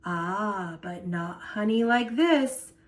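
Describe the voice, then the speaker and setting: A woman voicing a jolly, excitable old grandfather in a picture-book read-aloud, chirpy and eager. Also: medium pitch, takes it slowly. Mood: warm eagerness.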